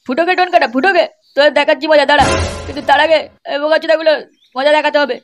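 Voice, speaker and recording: A woman's voice: high-pitched, rapid-fire, music in the background, close to an everyday voice. A woman voicing brash young village troublemakers in an amateur cartoon comedy, scolding crudely and swearing constantly. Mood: bitter, vengeful.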